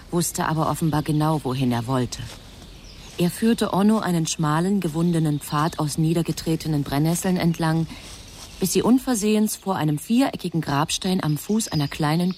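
An actress playing a composed angel who matter-of-factly narrates a human life in a radio drama. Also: female voice, low voice, normal speed, darkish tone, slight background noise, natural voice.

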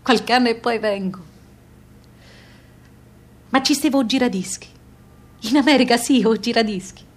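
Actress as high-voiced Southern Italian emigrant mother. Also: a little slow, pauses often, lightly acted. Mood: wistful, regretful. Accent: Neapolitan-influenced Southern Italian. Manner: colloquial, repetitive, incantatory phrasing. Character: emigrant Southern Italian mother, plain-spoken, nostalgic, tough. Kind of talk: theatrical radio monologue, intimate storytelling.

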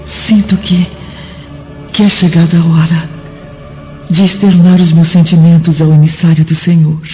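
Uma mulher interpretando um espírito feminino devoto e terno, em radionovela religiosa, com fala reverente e solene. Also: female voice, on the low side, flat delivery, normal speed, mellow, close to an everyday voice. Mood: humble, earnest. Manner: reverent, earnest. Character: Lívia, devout, tender female spirit in paradise. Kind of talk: solemn religious radio drama acting. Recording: dull radio drama recording, music behind.

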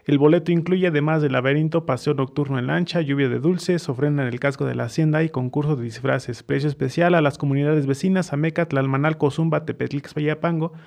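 Male radio host, central Mexican accent, higher-pitched with a gravelly fry, hesitant delivery. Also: fairly even intonation, brisk, few pauses, darkish tone. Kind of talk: live radio interview and announcements.

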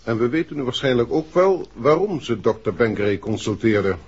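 A man's voice: medium pitch, animated, normal speed, breathy edge. An actor playing a businesslike, probing male police inspector in a 1960s Dutch radio drama. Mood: matter-of-fact. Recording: dull, muffled 1960s radio recording.

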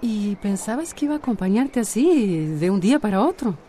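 A woman's voice: mid-range voice, lively intonation, fairly quick, dark tone, slight background noise, natural voice. An actress in a radio soap opera playing a nervous, evasive separated woman with a hesitant way of talking. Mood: wary. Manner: flustered, hesitant.

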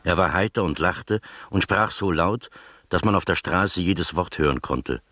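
Radio drama narrator: earnest, slightly anxious gentleman, low-pitched, articulate, dry sound. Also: unhurried, no echo, heavily acted. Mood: measured storytelling. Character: earnest, anxious male friend narrating a detective tale.

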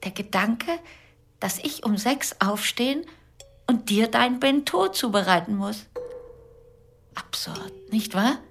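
A grieving, long-suffering wife. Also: female voice, on the high side, lively intonation, vocal fry, fairly bright tone, faint music behind, heavily acted. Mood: bitter, hushed. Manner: intense, deliberate, short broken phrases. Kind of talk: radio drama acting.

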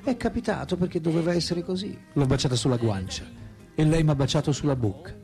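A man's voice: on the high side, expressive, quick pace, mellow, soft background music. An actor playing a reflective older philosopher in a radio drama, recalling his youth in a musing, candid, hesitant way. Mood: confiding.

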